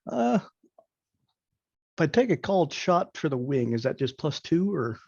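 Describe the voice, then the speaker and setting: A man talks casually as a player in a tabletop game. His voice is slightly high, with a gravelly, husky rasp. The recording is dry and a bit dull. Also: big pitch swings, moderate pace, a little mellow, dry recording.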